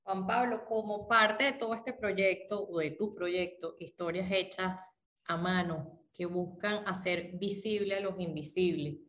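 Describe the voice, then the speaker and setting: A woman interviewing a guest, asking formal, measured questions. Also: on the low side, fairly bright tone.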